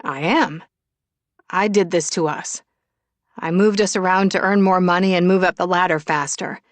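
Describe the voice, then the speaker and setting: A woman's voice: fairly low pitch, fairly even intonation, moderate pace, dry recording, natural voice. A woman voicing a devoted young firefighter husband in an audiobook, earnest and tender. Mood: earnest, nervous.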